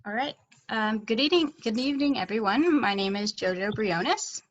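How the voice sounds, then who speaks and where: A woman's voice: a little high, lively intonation, moderate pace. Young woman presenting, slightly dull audio. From California, United States. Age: 20s. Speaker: graduate student presenter. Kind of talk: prepared research presentation. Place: online video call. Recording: dull, lo-fi online call audio.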